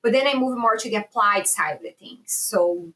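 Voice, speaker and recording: A woman's voice: medium pitch, moderate pace, pushed and bright, poor audio. A woman from Brazil in her thirties, with a Brazilian Portuguese accent, speaking as a guest on a podcast in a chatty, easygoing way with frequent restarts. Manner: chatty, laughs easily, with hesitant restarts. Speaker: guest researcher. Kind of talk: casual interview conversation.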